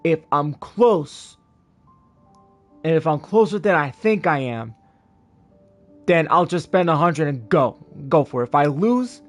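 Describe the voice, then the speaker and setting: High-voiced man chatting on a game stream. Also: expressive, a little slow, pauses often. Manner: rambling, repeats phrases. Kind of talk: casual livestream chat over gameplay. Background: game music.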